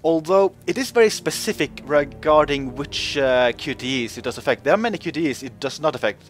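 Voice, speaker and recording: A man's voice: a little high, expressive, normal speed. A man giving casual commentary over game footage, talking in a chatty way with lots of fillers and voice jumps when joking. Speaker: gaming video host.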